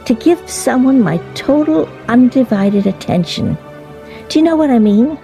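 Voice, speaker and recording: A woman's voice: high voice, expressive, normal speed, vocal fry, warm tone, soft background music. An actress playing an earnest, self-conscious woman in a radio play, with a hesitant way of talking.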